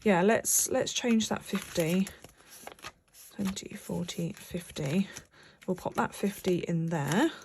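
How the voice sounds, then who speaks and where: A young British woman talks casually and at length about her money, with plenty of ums and restarts. Her voice sits a little on the low side. She sounds slightly bunged up, as if she has a cold. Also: takes it slowly, a little mellow, a little hiss or hum. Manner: chatty, rambling, many fillers and restarts. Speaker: budgeting vlogger. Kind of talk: casual chat to camera while cash stuffing.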